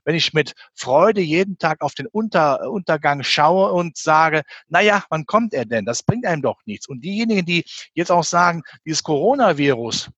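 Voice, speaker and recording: A man's voice: on the high side, animated, moderate pace, hardly any pauses, fairly bright tone, slightly rough, no room sound, highs cut off. A man from the Rhineland giving his opinion as an interview guest, speaking emphatically and argumentatively with many rhetorical questions. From Rhineland, western Germany near the Belgian and Dutch border. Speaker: interview guest commenting on economics and finance. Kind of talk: animated spoken opinion in an interview.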